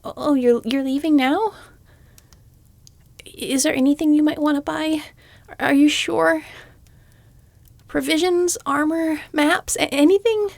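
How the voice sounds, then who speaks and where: Woman acting a creaky-voiced, exasperated fantasy shopkeeper woman. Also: high voice, takes it slowly, pauses often, no echo, heavily acted. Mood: flustered, incredulous. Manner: dry, sardonic, muttering asides under the breath. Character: weary, exasperated female fantasy shopkeeper, reluctantly polite. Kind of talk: scripted fantasy audio roleplay.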